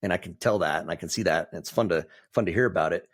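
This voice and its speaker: A man's voice: low-pitched, fast. A man hosting a podcast, chatty and enthusiastic as he interviews his guest. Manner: enthusiastic, rambling, warmly encouraging. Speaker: podcast host. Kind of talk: casual interview.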